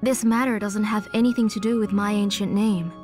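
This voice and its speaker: An aging mother and craftswoman from a video game, played by an actress, speaks in a measured, earnest way. Her mid-pitched voice is weary yet resolute, with faint music underneath. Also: fairly even intonation, darkish tone, lightly acted. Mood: resolute, sorrowful. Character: aging, devoted mother and master engraver, weary but resolute.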